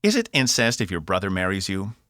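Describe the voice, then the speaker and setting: A man performs a cheeky Cockney robot from a sci-fi audiobook. He is vain and theatrical, swinging widely in pitch with a bright, forceful edge. His manner is grandiose and teasing. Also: sing-song, fairly quick, no room sound, studio quality, full character voice.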